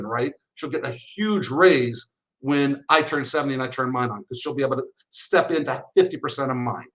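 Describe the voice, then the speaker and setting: A man's voice: mid-range voice, a bit bright. A man in his sixties, a financial advisor, explains slides in a webinar in a chatty way and often restarts his phrases.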